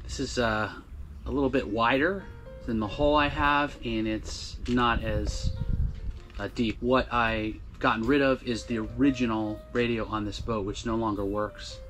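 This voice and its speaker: An American man in his fifties hosting a casual hands-on DIY vlog, talking in a halting way as he thinks aloud, recorded on a boat by a camera in front of him. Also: mid-range voice, fairly even intonation, fairly bright tone, soft background music. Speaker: video host. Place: outdoors on a small boat under a canvas top at a marina.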